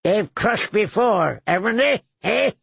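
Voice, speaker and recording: A man voicing a sly, crude, cackling old male lord with a gravelly voice who tags phrases with "eh?". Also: high voice, expressive, slow pace, fairly bright tone, no room sound, voice pushed up, heavily acted. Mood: mocking. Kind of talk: audiobook character voice.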